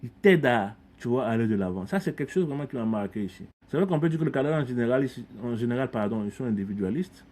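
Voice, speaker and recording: A man's voice: medium pitch, animated, fast talker, hardly any pauses, a little mellow. A middle-aged man from Ivory Coast, a community leader speaking as a podcast guest, talking earnestly and reflectively, often repeating words as he restarts phrases. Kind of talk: conversational interview.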